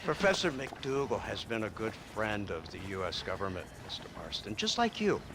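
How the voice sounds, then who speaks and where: An actor playing a stern government agent who barks clipped orders and speaks down to others in a game cutscene. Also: male voice, on the low side, big pitch swings, normal speed, background noise. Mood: patronizing. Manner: clipped orders, curt, patronizing. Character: stern male government agent, commanding and condescending. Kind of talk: video game cutscene acting.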